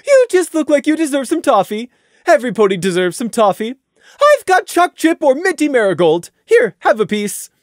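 A woman voicing a bubbly, cheerful female pony officer who talks loudly and pushily. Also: high-pitched, very animated, normal speed, no room sound, studio quality, pitched above the performer's own voice. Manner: chirpy, pushy, loud.

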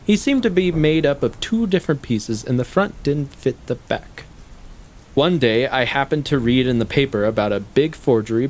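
An actor playing a shrewd, needling intellectual in a stage play, speaking deliberately in formal, articulate, condescending sentences. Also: male voice, mid-range voice, slight background noise, close to an everyday voice. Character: shrewd, probing male intellectual, coolly needling his guest. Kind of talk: stage play acting, long monologues.

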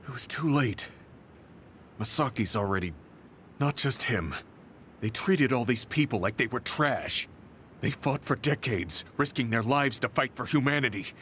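A man's voice: medium pitch, expressive, unhurried, fairly bright tone, slight background noise. An actor playing a stern, earnest, middle-aged male soldier in a game cutscene, speaking seriously and bluntly. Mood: grieving anger. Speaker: game character.

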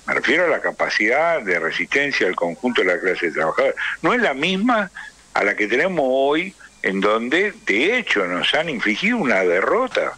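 An Argentine man speaking as a political interview guest, emphatic and rhetorical, building points through deliberate repetition. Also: medium pitch, big pitch swings, bright, forceful tone, husky, dry recording. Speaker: interview guest, trade union leader.

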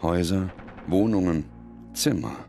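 A man delivering grave, measured scripted narration as a documentary narrator. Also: sits low, music in the background.

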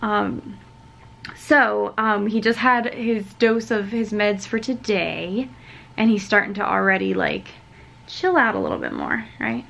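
Young woman vlogger with creaky voice. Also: mid-range voice, big pitch swings, a bit bright. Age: 20s. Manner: informal, halting, conversational. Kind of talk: casual chat to camera. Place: hotel room, indoors.

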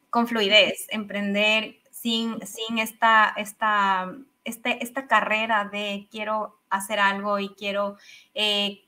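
A woman in her thirties from Ecuador with an Ecuadorian Spanish accent, a podcast guest chatting expressively about her coaching work over a video call. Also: mid-range voice. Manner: expressive, enthusiastic, many fillers and restarts. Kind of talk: casual interview chat. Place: home office with a big microphone. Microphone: studio microphone on video call.

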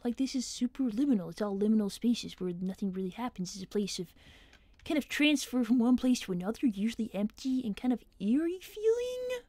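A woman's voice: fairly high pitch, very animated, brisk, dark tone, no echo. A woman voicing an earnest, clever young boy fox who chats candidly while thinking aloud on a gaming livestream. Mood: curious. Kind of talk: in-character gaming livestream chat.

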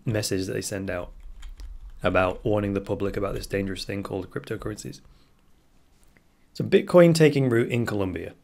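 A man hosting a podcast, reading a news article aloud and commenting on it as he goes, thinking out loud and correcting himself. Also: lowish voice. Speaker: podcast host.

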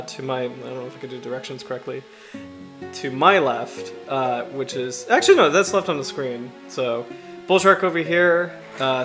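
A man in his thirties from the US Chesapeake Bay region, hosting a casual livestream in a halting, self-deprecating way, his throat slightly raspy from allergies. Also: mid-range voice. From United States, Chesapeake Bay region. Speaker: livestream host.

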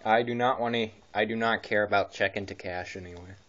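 A man's voice: lowish voice, steady tone, fairly quick, fairly bright tone. A man giving casual, rambling running commentary as a radio hobbyist, often hesitating and correcting himself. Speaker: amateur weather radio hobbyist and vlogger. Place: indoors in a room at home. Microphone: handheld camera mic, speaker behind the camera. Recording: muffled camera audio with background hiss.

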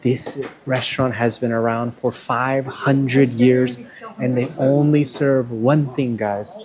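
A man in his thirties talks to a handheld camera while he eats, upbeat and chatty, often humming over his food. His voice sits at a middle pitch. A busy crowd of diners chatters behind him. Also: steady tone, takes it slowly, low-quality recording. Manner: enthusiastic, keeps saying "guys", savoring with hums. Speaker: food vlogger. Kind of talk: casual food vlog narration. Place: restaurants in Kyoto, indoors and riverside outdoors. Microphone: handheld camera at arm's length.

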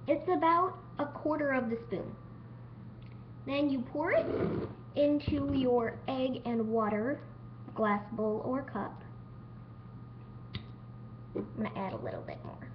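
A woman's voice: sits high, animated, slow pace, pauses often. A woman hosting a homemade cooking demonstration in a home kitchen, talking unscripted and sometimes stumbling over her words. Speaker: cooking show host. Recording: dull lo-fi home video with noise.

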